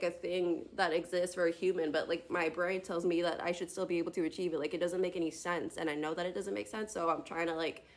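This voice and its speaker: A woman in her late twenties, talking to herself on a tablet camera in a rambling vlog, full of tangents and filler words. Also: medium pitch. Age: late 20s. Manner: tangential, filler-heavy, many "like" and "you know what I mean". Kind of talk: rambling solo vlog monologue.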